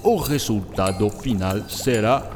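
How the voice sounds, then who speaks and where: A man's voice: lowish voice, a little slow, a little hiss or hum, lightly acted. Man playing German-accented eccentric scientist in reverberant hall. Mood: patient explaining. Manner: didactic, peppers speech with German words. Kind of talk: scripted radio drama dialogue. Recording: long hall reverb, music bed.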